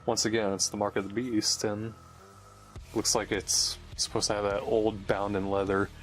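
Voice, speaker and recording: A man's voice: lowish voice, flat delivery, normal speed, music in the background, rough recording. A man giving casual unboxing commentary as host, muttering to himself as he handles things. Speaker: host of a game unboxing video.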